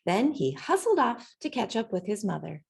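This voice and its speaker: A woman reading a children's picture book aloud in an expressive storytelling manner, acting out the sounds and dialogue. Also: medium pitch, moderate pace, creaky, slightly rough. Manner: animated, acts out sounds and dialogue. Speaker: storybook reader.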